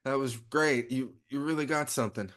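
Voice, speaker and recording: Man acting dying male pal, goofy, sheepish, casual rambling, comedy table read, medium pitch. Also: normal speed, fairly bright tone, natural voice. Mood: chummy. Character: dying wounded pal, goofy, embarrassed, brave.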